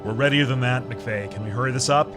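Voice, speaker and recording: Man acting a gruff commander under loud music. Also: medium pitch, quick pace, close to an everyday voice. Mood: irritated, curt. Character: gruff, impatient male space commander. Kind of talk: sci-fi audio drama acting.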